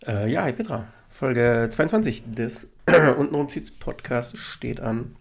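A man co-hosting a podcast, hoarse from a cold, chatting in a relaxed, hesitant way. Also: mid-range voice, a little slow. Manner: relaxed, hesitant, lots of fillers. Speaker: podcast co-host. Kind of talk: casual chat.

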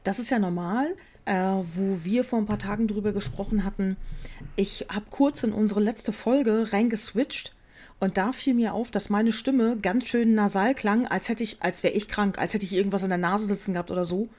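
A woman's voice: mid-range voice, moderate pace, darkish tone. A woman co-hosting a casual podcast, explaining things at length with many fillers. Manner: rambling, filler-heavy explanations. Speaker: podcast co-host. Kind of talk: casual chat.

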